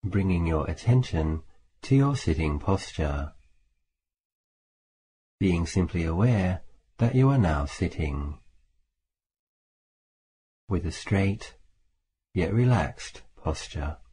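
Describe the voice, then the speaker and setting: Low, mellow man guiding meditation. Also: slightly rough, low-quality recording. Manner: calm, measured, with long pauses between phrases. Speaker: Buddhist monk, meditation teacher. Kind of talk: guided meditation. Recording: faint background hiss.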